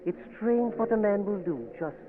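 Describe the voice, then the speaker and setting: Courteous hesitant professor, pitch all over, phone-thin old broadcast. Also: male voice, lively intonation, moderate pace, heavy background noise. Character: polite curious male college professor. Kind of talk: 1940s radio acting. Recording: tinny old radio broadcast.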